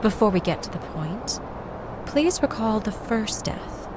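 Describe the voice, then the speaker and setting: An actress playing a polite clinical guide in a video game, giving formal, measured, soothing instructions. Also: female voice, mid-range voice, lively intonation, natural voice. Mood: calm, clinical. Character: polite clinical female guide running a memory synchronization test. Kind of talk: scripted game dialogue, procedural instructions. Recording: clear vehicle and ambient noise behind.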